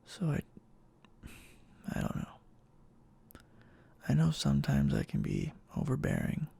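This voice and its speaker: A man acts out an obsessive, lovestruck boyfriend in an intimate ASMR roleplay. His voice is very breathy and husky, breaking into heavy creak and often sinking toward a whisper. He speaks slowly and haltingly, full of tender endearments. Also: medium pitch, huge pitch swings, mellow, full character voice. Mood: anxious, apologetic, pleading.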